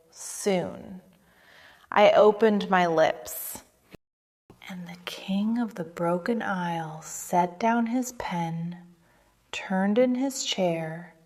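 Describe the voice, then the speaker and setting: Gothic audio drama: centuries-old female death-singer, played by a woman, proud and melancholy, solemn and hushed, extremely unhurried delivery, long hall reverb. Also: mid-range voice. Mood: hushed, haunted.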